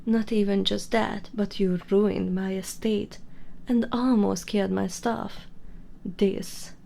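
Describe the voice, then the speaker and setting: A woman playing a proud, possessive vampire baroness in an intimate ASMR audio roleplay, imperious and breathy. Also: mid-range voice, fairly even intonation, takes it slowly, dark tone. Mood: menacing. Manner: breathy half-whispers, imperious and teasing.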